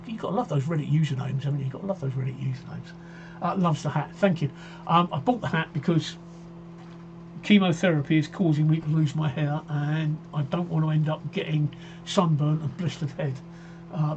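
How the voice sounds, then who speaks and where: A man's voice: a little high, highs cut off, background noise. A man in his sixties hosting a casual live stream, chatting in a rambling way and often repeating himself while he reads out viewer comments. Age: 60s. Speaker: livestream host. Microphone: desk microphone.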